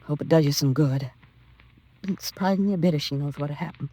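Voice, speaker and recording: A woman's voice: low-pitched, fairly quick, dark tone. An actress playing a bitter, resentful woman in a 1950s radio crime drama, talking in terse, clipped colloquial phrases. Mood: accusing. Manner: terse, clipped working-class colloquial talk. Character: bitter, resentful woman blaming her neglectful sister.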